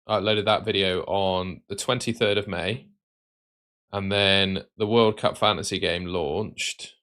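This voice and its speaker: A man hosting a live stream with casual, matey chat that can turn excitable and sing-song. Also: low voice, a little slow. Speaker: livestream host.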